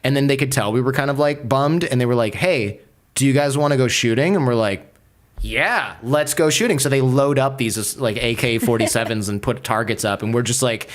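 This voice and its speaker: A young adult man telling a travel story in a casual chat, animated and acting out the people he quotes. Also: fairly quick. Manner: animated, acts out quoted voices with raised pitch.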